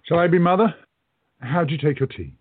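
A man playing a courteous, earnest gentleman shopkeeper in a radio play, gentle and measured in manner. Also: fairly high pitch, slightly rough, dry recording. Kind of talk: hobby radio play acting. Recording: dull lo-fi audio.

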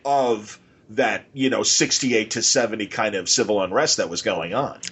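A man's voice: fairly low pitch, moderate pace, a bit bright, slightly rough. An American man hosting a casual interview, talking informally and encouraging his guest along. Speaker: podcast host.

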